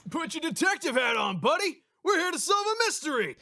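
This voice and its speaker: Extremely high, excitable game character. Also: male voice, a little fast, creaky, dry recording, studio quality, heavily acted. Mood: excited. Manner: exuberant, exclaiming. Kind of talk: animated video game voice acting.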